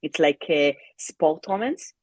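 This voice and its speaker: A woman's voice: deep voice, expressive, takes it slowly, darkish tone, no room sound. A woman who speaks English as a second language, chatting casually on a book livestream and often searching for her words. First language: not English. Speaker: co-host of a livestreamed reading sprint. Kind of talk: casual unscripted livestream chat about books.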